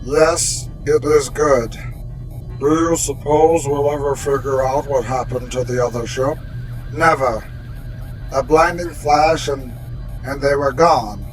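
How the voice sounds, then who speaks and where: Man voicing a slow, formal alien envoy. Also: medium pitch, faint music behind, natural voice, low-quality recording. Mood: calm, reflective. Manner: slow, stilted, formal phrasing. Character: calm, formal alien envoy speaking through translation machine. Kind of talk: radio sci-fi drama acting.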